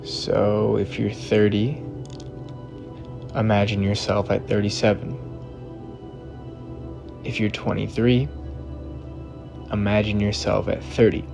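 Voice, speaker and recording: Male meditation guide, flat steady intonation, short deliberate phrases, long pauses, soft music bed behind. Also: lowish voice, vocal fry. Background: background music.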